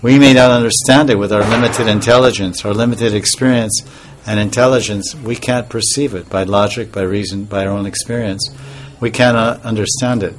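A man giving an informal devotional talk as a spiritual teacher, soft-spoken and rambling, with pauses and restarts. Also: on the low side, fairly even intonation, a little hiss or hum.

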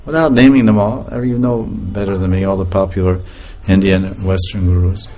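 A man's voice: sits low, a little fast. Laughing man giving a spiritual talk. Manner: laughing often, animated storytelling. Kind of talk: informal spiritual lecture.

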